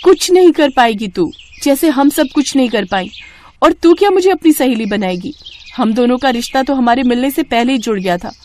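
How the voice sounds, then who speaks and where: A woman's voice: sits high, expressive, moderate pace, a little mellow. A woman voicing a knowing, bitter, worldly abandoned wife in melodramatic story dialogue. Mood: bitter, scornful.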